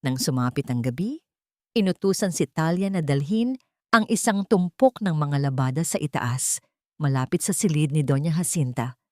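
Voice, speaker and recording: Low-voiced woman narrating a drama. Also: animated, normal speed, dark tone, no room sound, clean studio sound. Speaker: audiobook narrator. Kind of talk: dramatic scripted story narration.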